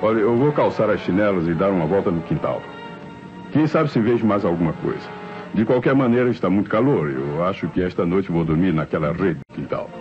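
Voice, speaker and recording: An actor playing a curious suburban husband in an old radio drama. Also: male voice, deep voice, animated, brisk. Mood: intrigued. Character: suburban husband curious about a strange sight. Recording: dull old radio recording with music.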